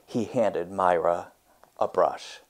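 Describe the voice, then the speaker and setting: A man teaching and reading a storybook aloud with deliberate, emphatic, expressive phrasing. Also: low-pitched.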